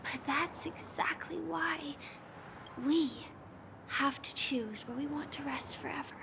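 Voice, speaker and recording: A woman voicing a gentle, wistful young woman warrior in a video game, speaking solemnly and often in a hushed, breathy tone. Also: sits high, slow, background noise, full character voice. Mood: solemn, resigned. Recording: loud music behind the voice.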